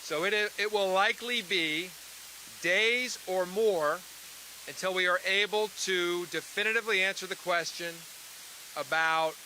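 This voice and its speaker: A man making a halting public statement as an official briefing the press. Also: high-pitched, pushed and bright, rough recording. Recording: faint hiss behind press briefing.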